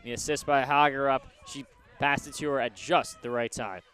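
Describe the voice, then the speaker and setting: A man's voice: medium pitch, steady tone, normal speed, fairly bright tone. A man giving live radio color commentary on college lacrosse, explaining the plays in a conversational way. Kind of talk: live sports analysis between plays.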